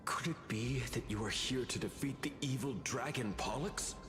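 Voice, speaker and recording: A man's voice: medium pitch, moderate pace, a bit bright, lightly acted. An actor playing a jittery, polite male spirit in a video game, speaking in a formal, theatrical way. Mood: storytelling. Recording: game music behind voice.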